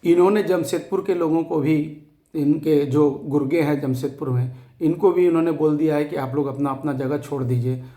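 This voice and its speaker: Hoarse, defiant man rallying followers. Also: fairly high pitch, steady tone, moderate pace, dark tone, echoey room. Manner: defiant, emphatic, repeats phrases for force. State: hoarse, scratchy throat. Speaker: activist addressing fellow depositors. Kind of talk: impassioned rallying address to followers.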